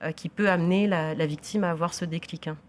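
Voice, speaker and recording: A woman who heads a social service, a guest on a TV talk show, giving measured explanations with frequent tags and fillers. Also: fairly low pitch, steady tone.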